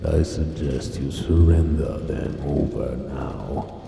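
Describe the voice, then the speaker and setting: An actor playing a menacing, taunting military major in a sci-fi drama, with a gravelly voice and a slow, condescending delivery. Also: male voice, extremely low pitch, steady tone, dark tone, full character voice. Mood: smug, threatening. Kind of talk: cinematic sci-fi drama acting. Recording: roomy, distant, long reverb, faint noise.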